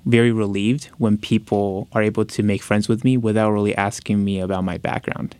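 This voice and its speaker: A man in his twenties who grew up in America, speaking in an interview in a careful, hesitant way, pausing and restarting often. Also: fairly low pitch, flat delivery, a little mellow, clean tone, no room sound. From United States. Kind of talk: personal interview talk.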